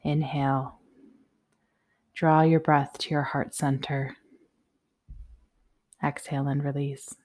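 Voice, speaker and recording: A woman guiding a yoga nidra meditation in a soothing, measured, softly spoken way. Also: low voice, little pitch movement. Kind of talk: guided meditation, slow instructions.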